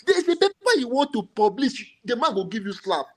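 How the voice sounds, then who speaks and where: A man's voice: extremely high pitch, big pitch swings, fairly quick. A man with a Nigerian accent speaking as a guest in a panel discussion, emphatic and often repeating his phrases. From Cameroon, Nigerian parents. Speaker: computational biochemist, panel guest.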